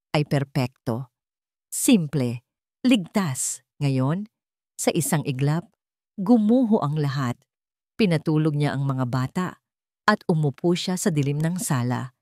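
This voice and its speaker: A woman's voice: low voice, a little slow, long pauses, warm tone, no room sound, clean studio sound. A woman with a Filipino accent reading a scripted romance story as a dramatic narrator.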